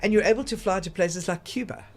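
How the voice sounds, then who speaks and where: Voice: mid-range voice, fast. A talk show co-host explains travel routes in a casual, chatty way that rambles a little.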